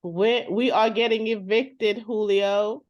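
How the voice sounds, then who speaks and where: A woman's voice: mid-range voice, steady tone, slow, natural voice. A woman playing a fed-up adult sister in a dramatized therapy session, speaking in an exasperated, insistent, blunt way. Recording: dull lo-fi sound.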